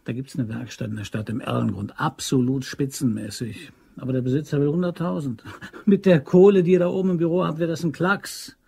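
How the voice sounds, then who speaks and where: An actor playing a scheming, persuasive ex-convict locksmith in a German crime radio drama, with slangy, insinuating talk. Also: male voice, on the high side, fairly even intonation, brisk, gravelly, mellow, husky, full character voice. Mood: eager, persuasive.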